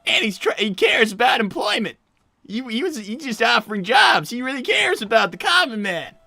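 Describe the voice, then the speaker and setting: A high, lively youngster voiced by a woman, brash and stubborn. The delivery is bright, casual and emphatic, in the style of a cartoon comedy roleplay. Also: moderate pace, vocal fry, dry recording, heavily acted. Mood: casual, earnest. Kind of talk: improvised in-character livestream comedy roleplay.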